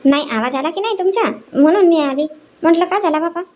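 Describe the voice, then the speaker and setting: A woman's voice: very high voice, quick pace, a little mellow. A woman voicing a busy middle-aged village housewife in a cartoon comedy, chatty and fretting over chores in rural Vidarbha Marathi. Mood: brisk, organising. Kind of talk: homely cartoon comedy dialogue.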